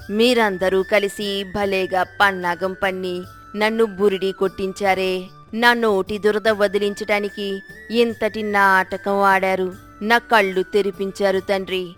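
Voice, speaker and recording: A woman's voice: medium pitch, level tone, a little slow, fairly bright tone, faint music behind, close to an everyday voice. A woman playing an older, wheedling village gossip in an acted moral story, with theatrical exclamations. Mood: surprised. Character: sly village gossip older woman, dramatic, later contrite.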